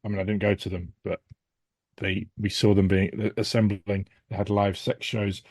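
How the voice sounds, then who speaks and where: Lowish, dry-sounding British man chatting casually. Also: little pitch movement, normal speed, a little mellow, no room sound. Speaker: podcast guest. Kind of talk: casual chat.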